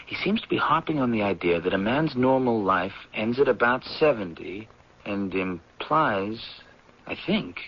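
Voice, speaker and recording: An American actor playing an eager, idealistic anthropology professor in a 1970s radio drama, with an earnest, persuasive delivery and a husky voice. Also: male voice, lowish voice, full character voice. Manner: earnest, persuasive, deliberate when reading aloud. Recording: dull, lo-fi vintage radio recording.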